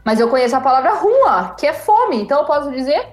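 Emphatic high-voiced Brazilian woman. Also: big pitch swings, fast, soft background music. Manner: emphatic, repeats words for emphasis. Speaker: German teacher guest. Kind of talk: casual podcast chat.

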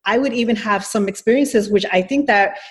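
A woman's voice: mid-range voice, a little fast. A woman from New York City speaks as an interview guest. She explains her points carefully and at length. Her phrasing is full of hedges and restarts. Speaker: nonprofit executive guest. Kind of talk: interview answers.

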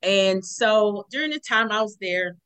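A woman from Houston, Texas, a podcast guest calling in remotely, telling a personal story in an animated way and acting out what people said. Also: medium pitch, a little slow, clean tone. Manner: animated storyteller, acts out quotes.

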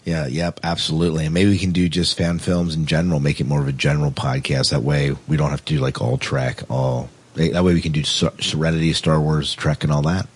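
Deep husky man hosting a podcast. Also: flat delivery, fairly quick, hardly any pauses. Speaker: podcast host. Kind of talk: casual podcast chat.

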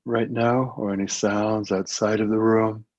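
Low, husky man guiding meditation. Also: little pitch movement, no echo. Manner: gentle, unhurried instruction with long pauses. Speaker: meditation teacher. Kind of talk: guided meditation. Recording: muffled, dull lo-fi audio.

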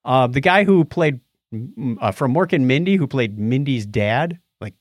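A man's voice: medium pitch, expressive, moderate pace, dry recording, studio quality. A middle-aged or older man co-hosting a podcast, casually reviewing things in a rambling way and groping for names. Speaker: podcast co-host. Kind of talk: casual movie and book review chat.

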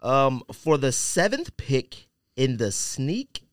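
Animated, slangy man in close, dry sound. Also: mid-range voice, slow, no room sound. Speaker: podcast host. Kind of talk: casual sports-draft banter.

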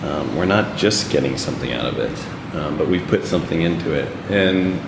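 A man from Texas with a very deep voice speaks earnestly in a casual group discussion. The sound is roomy with a long hall reverb. Loud ambient noise and air conditioning sit behind him. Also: normal speed, gravelly. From Texas, USA. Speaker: artist, guest.

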